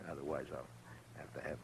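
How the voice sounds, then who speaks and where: An American man acting a stern, steady frontier marshal in a 1950s radio western, with a measured, dry, authoritative delivery. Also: extremely low pitch, breathy edge, full character voice. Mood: gentle, wry. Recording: dull muffled vintage radio recording.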